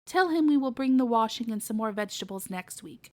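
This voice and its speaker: A woman's voice: fairly high pitch, animated, fairly quick, lightly acted. Woman playing a fussy, bossy old-fashioned pig aunt. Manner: bossy, snappy, listing errands. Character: fussy, grumbling pig aunt giving orders.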